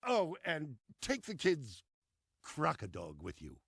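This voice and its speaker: A crotchety old underground leader in a video game. The voice is sharp, raspy and fairly high, recorded dry. He lectures and gives orders with pompous, grumbling authority. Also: wildly swooping pitch, takes it slowly, no room sound, heavily acted. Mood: commanding. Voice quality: raspy, creaky. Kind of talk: animated video game cutscene acting.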